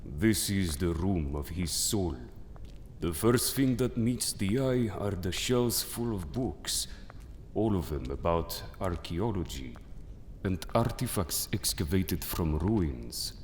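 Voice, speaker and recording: A man playing a grave, merciless mystic judge in an audio drama, speaking in an ominous, deliberate manner. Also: low-pitched, animated, a little mellow, a little hiss or hum. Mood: solemn, probing. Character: solemn, merciless mystic judge passing sentence on souls. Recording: long cavernous reverb with music behind. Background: music.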